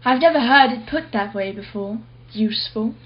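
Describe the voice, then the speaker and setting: Haughty, high-voiced art collector. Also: female voice, normal speed, slightly rough, highs cut off. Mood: arch, probing. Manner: arch, articulate, sardonic. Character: haughty, wealthy, privileged female art collector, witty and defensive. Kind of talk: radio play acting.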